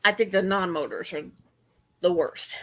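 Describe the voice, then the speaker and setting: A woman's voice: lowish voice, moderate pace, a bit bright. A middle-aged woman co-hosting a podcast, talking in earnest, run-on explanations in casual chat. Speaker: podcast co-host.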